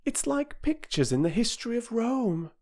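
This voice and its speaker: An earnest young girl pipes up with high, pleading questions, as a man puts her on. Her voice leaps about restlessly, and she speaks in a storybook reading. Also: sing-song, normal speed, heavily acted. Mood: awed. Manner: eager, pleading, rising questions.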